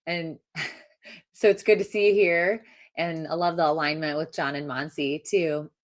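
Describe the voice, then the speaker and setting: A woman's voice: low voice, steady tone, measured pace. A woman chatting casually and enthusiastically as a participant in a group conversation. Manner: enthusiastic, effusive. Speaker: audience participant. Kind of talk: casual chat.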